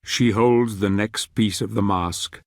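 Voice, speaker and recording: An actor playing a solemn, ominous storyteller, narrating a dark fantasy video game in a grave, measured, theatrical way. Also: male voice, on the low side, steady tone, no echo, clean studio sound. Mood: grave, foreboding. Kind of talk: dramatic scripted game narration.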